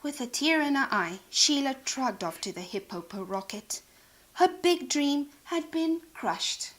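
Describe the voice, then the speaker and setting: A woman narrating a children's storybook in a dramatic, expressive way. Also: high-pitched, a little slow. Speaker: storybook reader.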